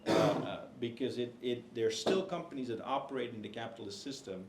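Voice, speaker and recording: A man's voice: mid-range voice, brisk. Man speaking on a panel in an echoey hall. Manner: hesitant, many fillers and restarts. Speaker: book co-author on a panel. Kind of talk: panel discussion. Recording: echoey hall, faint noise.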